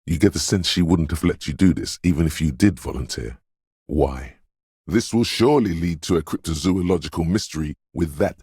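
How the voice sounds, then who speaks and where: A man's voice: a little mellow, no echo, studio quality, heavily acted. A man voicing a dreamy, mystical inner voice of intuition in a video game, narrating in a brooding, theatrical and intimate way. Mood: hushed, dreamy. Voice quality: very deep, gravelly, husky. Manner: brooding, theatrical, addressing the listener as you.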